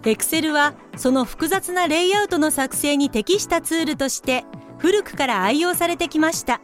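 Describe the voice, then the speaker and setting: High-voiced female explainer played by an actress. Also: normal speed, soft background music, lightly acted. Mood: polite, explanatory. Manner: polite, instructional. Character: polite female explainer narrator of an office comedy. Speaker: narrator. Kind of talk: scripted explanatory narration for a comic radio drama.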